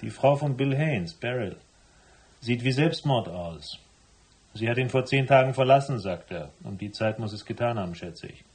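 An actor playing a cool, laconic private investigator who narrates his case in a radio drama, with a husky voice. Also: medium pitch, animated, moderate pace, darkish tone, no echo. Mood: matter-of-fact. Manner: laconic, matter-of-fact.

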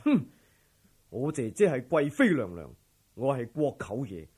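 Man playing an arrogant husky-voiced Cantonese noble villain. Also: medium pitch, huge pitch swings, measured pace, darkish tone, full character voice. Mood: scornful, menacing. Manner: blustering, scornful, with disdainful snorts. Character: arrogant, violent young imperial brother-in-law, a corrupt villain. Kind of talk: Cantonese audio drama acting.

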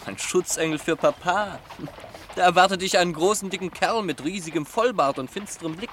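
An actor playing a flippant, chatty young aristocrat student, a governor's son, in a German radio drama. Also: male voice, high-pitched, a little fast, a little hiss or hum, lightly acted. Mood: amused, teasing. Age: young adult. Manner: chatty, irreverent, theatrical.

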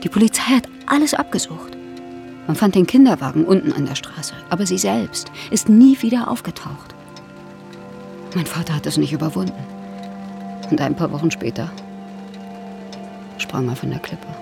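A woman playing a troubled, self-reliant middle-aged actress in a radio drama, expressive and naturalistic with halting delivery. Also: on the low side, vocal fry, over music. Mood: haunted, grieving. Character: troubled actress Ellie, haunted by family loss, stubbornly self-reliant. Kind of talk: German radio play acting.

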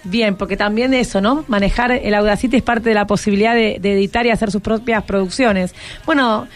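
A woman's voice: medium pitch, steady tone, fairly quick. A woman with a Rioplatense Argentine accent hosting a radio interview in casual, encouraging chat, asking short informal questions. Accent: Rioplatense Argentine Spanish. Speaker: radio host. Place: radio programme recording. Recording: music playing under the voice. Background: music.

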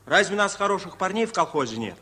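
Cheeky lively young village man. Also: high-pitched, quick pace, husky, full character voice. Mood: teasing. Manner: wry, teasing. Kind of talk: 1950s radio musical comedy acting.

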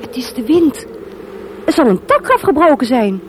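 A woman's voice: high-pitched, very animated, normal speed, background noise. A woman playing a frightened young shipwrecked woman in a melodramatic radio play, exclaiming with urgency. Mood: anxious relief. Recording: loud music bed behind. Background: loud music.

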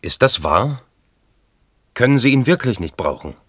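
An actor playing a sober, probing questioner and commentator in a radio play, measured and inquiring. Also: male voice, medium pitch, very animated, a bit bright, husky.

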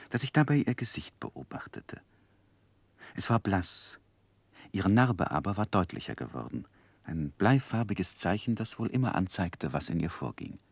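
An actor narrating a 1960s German radio play as a man looking back, in a measured, reflective literary style. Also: low-pitched, no echo. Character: David Copperfield as reflective adult narrator.

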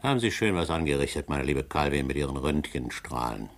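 Low, insinuating schemer with a gravelly bottom end, radio drama. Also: male voice, expressive, fairly quick. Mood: uneasy. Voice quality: deep gravelly voice. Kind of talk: radio play acting.

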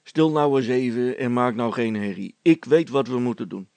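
A man's voice: mid-range voice, normal speed, a little mellow, no echo, close to an everyday voice. A man voicing a bossy, scheming village policeman with a self-important, colloquial manner in a children's story.

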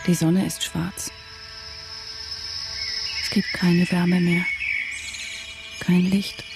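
Give a low female voice, a brooding wife in her late thirties. She delivers an inner monologue for a radio play in short, hushed, careful sentences, at times almost whispering, with music underneath. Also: little pitch movement, very slow, creaky, exaggerated voice. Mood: bleak, hushed. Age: 30s. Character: brooding wife of a vanished tycoon, 37, mother. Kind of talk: literary radio drama monologue, first person.

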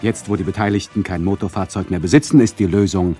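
Low-voiced, condescending radio play narrator man acting. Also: fast, warm tone, faint music behind, lightly acted. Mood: announcing. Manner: sardonic, addresses listeners directly, mock-patient. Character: condescending, chatty male narrator who mocks his listeners.

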